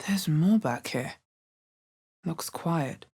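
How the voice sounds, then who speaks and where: A woman playing a wary, dry female fantasy adventurer in a video game, with a bassy, husky voice and a measured, understated delivery. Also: expressive, dark tone, full character voice. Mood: sober, wary. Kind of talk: video game character voice lines.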